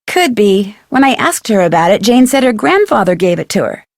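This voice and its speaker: A woman's voice: medium pitch, very animated, a little fast, no room sound, clean studio sound. A woman playing a curious young female detective in a video game, speaking conversationally with an American accent in an earnest, matter-of-fact way. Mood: earnest, intrigued. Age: young adult. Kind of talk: video game dialogue acting, conversational phone chat.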